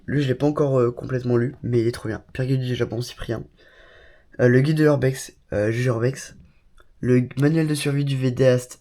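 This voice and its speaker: A man's voice: mid-range voice, warm tone. Chatty young man with a cold. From Bordeaux, France. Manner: chatty, enthusiastic, many fillers and asides. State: has a cold. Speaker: YouTuber showing his studio. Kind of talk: casual vlog tour. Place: small home studio room.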